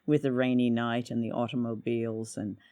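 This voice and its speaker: A man from Vancouver with a Canadian accent, hosting a conversational review show in a measured, slightly hesitant way. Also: mid-range voice, flat delivery, dark tone, no room sound. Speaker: podcast host. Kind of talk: conversational radio drama review.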